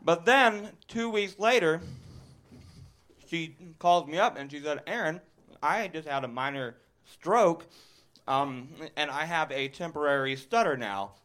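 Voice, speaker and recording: A man's voice: a little high, animated, unhurried, fairly bright tone. A man in his twenties doing stand-up on a live stage, stuttering and laughing at his own jokes. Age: 20s. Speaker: stand-up comedian. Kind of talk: stand-up set.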